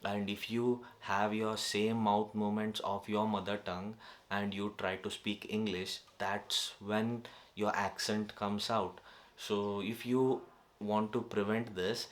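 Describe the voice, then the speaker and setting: A man's voice: on the low side, level tone, takes it slowly. A young man with an Indian accent, a native Kannada speaker, talking casually to camera in a vlog, hesitant and full of fillers and restarts. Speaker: vlogger. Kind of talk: casual self-improvement vlog.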